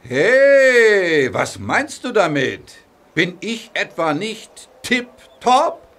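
A man's voice: sits high, very animated, a bit bright, full character voice. An actor playing a big, proud, touchy male steam engine in a children's audio drama, flustered and defensive. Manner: flustered, defensive, slow-spoken.

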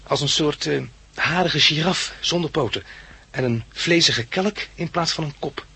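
A man's voice: on the high side, a little slow, bright, forceful tone, slightly rough, exaggerated voice. An actor playing an eager, polite young plant enthusiast who tells his story in a 1960s Dutch radio drama. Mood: eager, nervous. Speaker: lead character and narrator.

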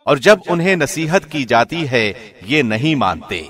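Man reading scripture with lively intonation. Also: medium pitch, soft background music. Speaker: translation reader, radio presenter.

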